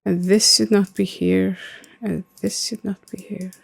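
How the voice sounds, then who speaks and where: Icelandic woman in her thirties, native Icelandic speaker, streamer; low-key flat delivery with a creaky edge, loose and filler-heavy. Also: measured pace. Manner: rambling, many fillers, answering chat. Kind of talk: casual gaming stream chat.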